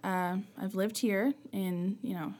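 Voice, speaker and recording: A woman's voice: medium pitch, takes it slowly, darkish tone. A woman from Nova Scotia with a Canadian accent, chatting casually as an interview guest. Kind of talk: casual chat.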